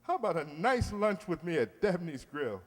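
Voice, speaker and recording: An actor playing a pompous, lovestruck, grumbling middle-aged bachelor in 1940s radio comedy style, blustery and exclaiming. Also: male voice, fairly high pitch, big pitch swings, moderate pace, big-room sound. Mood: flustered, lovestruck.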